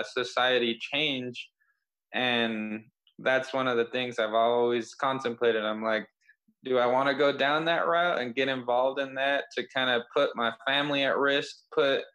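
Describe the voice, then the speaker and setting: A young man hosts a podcast in easygoing conversation. He speaks earnestly, often pausing on "uh" as he thinks. His voice has an average pitch. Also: a bit bright, poor audio. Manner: earnest, with frequent "uh" fillers.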